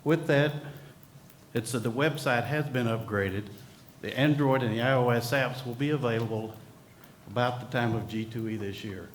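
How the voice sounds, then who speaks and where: A man presenting at a conference podium, relaxed and folksy. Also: medium pitch, moderate pace, slight background noise. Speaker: company executive presenting at a conference. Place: conference hall. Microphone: podium microphone. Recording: echoey conference hall.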